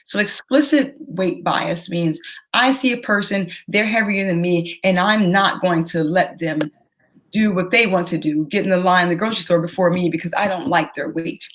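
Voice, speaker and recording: A young woman speaks as a physician being interviewed. Her voice sits a little on the low side for a woman. She explains things in a careful, teacherly way, laying out points step by step. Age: young adult. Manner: measured, educational, careful explaining.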